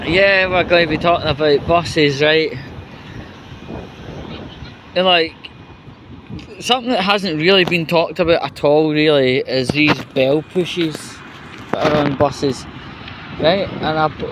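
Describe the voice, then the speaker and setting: Scottish man rambling over street noise. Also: a little high. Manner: sweary, irreverent, halting delivery with pauses. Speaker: vlogger. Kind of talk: rambling casual walking vlog, chatty. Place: outdoors on a city street. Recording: noisy outdoor street with traffic.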